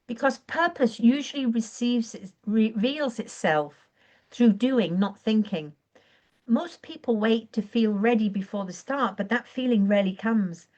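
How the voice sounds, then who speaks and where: A woman coaching on a live stream, her voice croaky and her throat often clearing, talking in an earnest, encouraging way and now and then correcting herself. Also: on the high side, unhurried, a little mellow. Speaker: online business coach. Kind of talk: live-streamed training talk.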